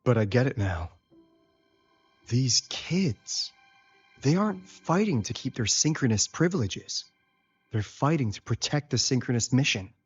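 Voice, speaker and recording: An actor playing an easygoing, shrewd investigator in a video game, speaking in a casual, wry, husky voice. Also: male voice, mid-range voice, sing-song, a little slow. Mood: earnest. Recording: dull lo-fi game audio, faint music.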